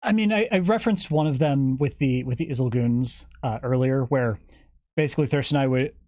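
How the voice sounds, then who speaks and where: Animated man chatting in a dry, close recording. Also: medium pitch, fairly quick, dry recording. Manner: animated, jokey, acts out imagined dialogue. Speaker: guest game developer. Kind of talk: lively casual interview chat.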